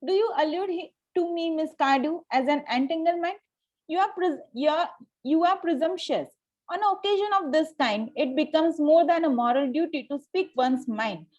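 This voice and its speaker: A woman's voice: high-pitched, moderate pace, darkish tone, highs cut off, lightly acted. A woman reading the part of a haughty, coolly polite young upper-class lady in a Victorian stage comedy, formal with small stumbles. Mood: coolly indignant. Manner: formal, stumbles over lines. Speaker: table-read participant.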